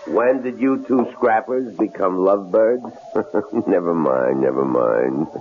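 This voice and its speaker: An actor playing a mocking, bossy, menacing captor in a 1970s radio drama, with a gravelly voice and a taunting manner. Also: male voice, low-pitched, animated, slow. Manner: taunting, sneering. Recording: muffled, noisy old radio broadcast.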